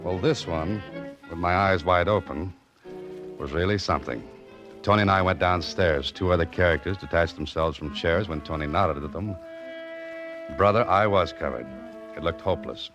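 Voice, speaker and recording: Casting: actor as low-voiced American radio detective hero, male, dry and wisecracking, muffled vintage sound. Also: normal speed, natural voice. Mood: tense, wry narration. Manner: dry, wisecracking, clipped tough-guy delivery. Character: wry, hard-boiled adventurer hero narrating his own mystery. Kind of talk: 1940s radio mystery acting and first-person narration. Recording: muffled old radio recording with music.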